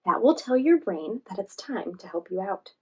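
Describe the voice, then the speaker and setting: A woman voicing a calm, caring female friend in a children's storybook, guiding gently. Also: medium pitch, breathy edge. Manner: gentle, slow guiding delivery.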